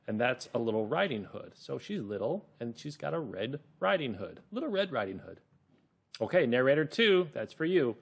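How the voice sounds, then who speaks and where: Guy teaching kids reading, encouraging, stretches out key words, super up and down pitch. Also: very animated, creaky. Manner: encouraging, explanatory, with drawn-out emphasis. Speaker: teacher and host. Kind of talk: children's reading lesson with story narration.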